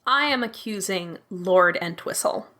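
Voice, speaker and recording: A woman plays through a tabletop murder mystery, thinking aloud at a slow pace. Her voice is bright and sharp, and she works through her reasoning with plenty of fillers. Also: medium pitch, lively intonation. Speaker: guest player. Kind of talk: playing a tabletop mystery game.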